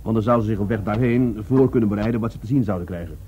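Male actor as doubting policeman, deliberate, deferential, radio play, muffled sound, faint noise. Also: on the low side, warm tone, natural voice. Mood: earnest, explaining. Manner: deliberate, hesitant, deferential to his superior. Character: earnest, doubting male Dutch police adjutant. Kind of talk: Dutch radio drama dialogue.

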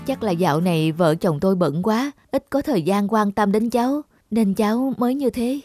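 A woman's voice: medium pitch, expressive, moderate pace, a little mellow, soft background music, natural voice. A woman voicing a worried, weary wife and mother in a cartoon, earnest and concerned. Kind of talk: cartoon dubbing.

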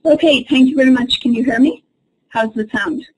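Breathy-voiced woman giving an academic lecture. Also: sits high, poor audio. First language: Urdu. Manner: measured, explanatory lecturing to an online audience. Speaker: associate professor presenting a webinar. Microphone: computer microphone in an online webinar.

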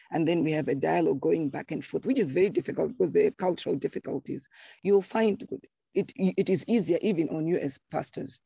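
A woman's voice: deep voice, creaky, mellow, husky, no room sound, muffled recording. A woman, speaking as a church congregant in a panel discussion, earnest and repeating words as she thinks.

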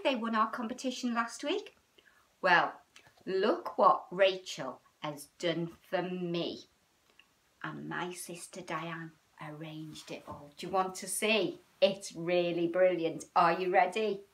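Lively British woman chatting to children. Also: lowish voice. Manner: animated, sing-song, addressing children with frequent tag questions. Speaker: children's storytime and yoga host. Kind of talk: chatty, child-directed livestream talk. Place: small room at home, indoors.

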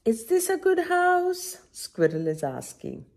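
A middle-aged woman reads a picture book aloud to young children. Her pitch swings widely in a sing-song, coaxing way, and she takes her time. There is some gravelly fry in her voice as she asks questions and comments on the pages. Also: slow pace. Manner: animated, sing-song, coaxing teacher-like delivery. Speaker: children's story-time host. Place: small indoor room at home.

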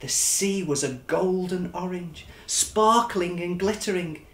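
A man, reading a children's storybook aloud with dramatic, animated expression. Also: high voice, slow, reverberant. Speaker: children's author reading his own book. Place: furnished living room, indoors.

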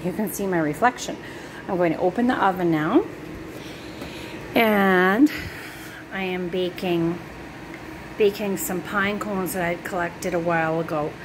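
A middle-aged woman talks in a chatty, upbeat way straight to her viewers, a teacher giving her students a tour of her home. Her mid-pitched voice has a gravelly fry to it. It is recorded on a handheld phone in small rooms, with faint household noise behind her. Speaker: music teacher. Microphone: phone camera held by hand.

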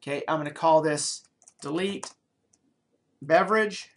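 A man's voice: fairly high pitch, measured pace, fairly bright tone. A middle-aged man in a small office, recorded on a desk webcam, walking through code in a screencast programming tutorial and thinking aloud with fillers and restarts. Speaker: programming lecturer.

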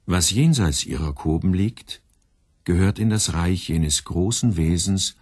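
A low-voiced man narrates a scripted radio piece with lively swings in pitch. He places each phrase deliberately, and the recording is close and dry. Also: husky, dry recording.

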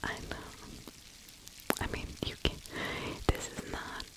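Middle-aged female ASMR narrator, whispered throughout, unhurried, halting, close-miked. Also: poor audio. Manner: hushed, halting delivery with pauses. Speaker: ASMR true crime narrator. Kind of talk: whispered ASMR true crime commentary. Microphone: close microphone with fluffy windscreen.

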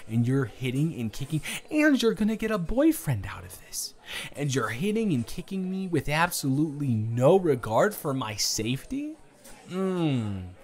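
A man's voice: fairly high pitch, very animated, moderate pace, a little mellow, pitched above the performer's own voice. A young man playing a shy, smitten date in an improvised romantic audio roleplay, hesitant and stammering, both teasing and earnest. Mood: playful, teasing.

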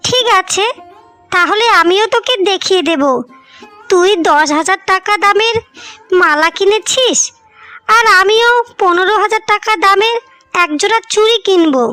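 Cartoon casting: woman as irritable village elder daughter-in-law, very high bright voice, scolding delivery. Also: normal speed, no echo, faint music behind. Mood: indignant, defiant. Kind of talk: Bengali moral-story cartoon dubbing.

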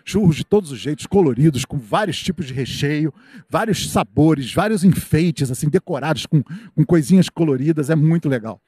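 A middle-aged man with a Brazilian accent chats upbeat and fast on a live stream, strolling through an outdoor fair at night. His voice sits a bit high, and the sound is dry and close, from a handheld mic. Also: animated, mellow, husky, no room sound. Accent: Brazilian Portuguese. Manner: chatty, upbeat, reads viewer comments aloud, frequent "tá?" and "né?". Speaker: live-stream host and reporter. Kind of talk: live walking tour commentary. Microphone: handheld microphone.

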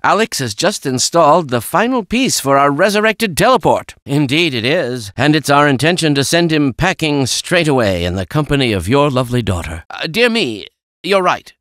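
Fussy absent-minded old scientist for a video game, voice swings all over, close dry sound. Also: male voice, fairly high pitch, very animated, normal speed, a bit bright, dry recording. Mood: earnest, genial. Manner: fussy, precise, old-fashioned exclamations. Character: kindly, absent-minded older male scientist, excitable and fussy. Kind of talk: video game character acting.

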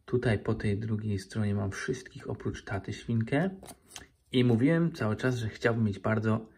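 A man hosting a children's toy unboxing in casual chat, with an excitable manner. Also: lowish voice, moderate pace, slight background noise. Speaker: toy unboxing channel host.

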